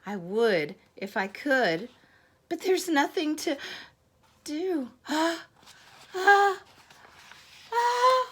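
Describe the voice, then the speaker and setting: A woman's voice: high-pitched, sing-song, takes it slowly, pauses often, voice pushed up, exaggerated voice. A woman voicing a big, apologetic, easily frightened elephant in a dramatic children's storybook reading. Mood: about to sneeze. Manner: exclaiming, dramatic, repeating words. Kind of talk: animated children's storybook read-aloud.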